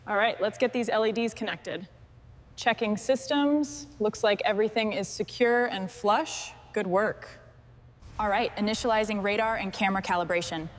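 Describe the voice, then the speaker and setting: A woman's voice: medium pitch, moderate pace. A woman speaking as a garage mechanic, giving terse procedural call-outs in a businesslike, instructional way.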